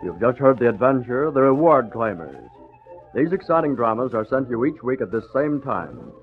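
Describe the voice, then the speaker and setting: Old radio announcer guy, formal scripted read, mid pitch, tinny, bit of music. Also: sing-song, normal speed.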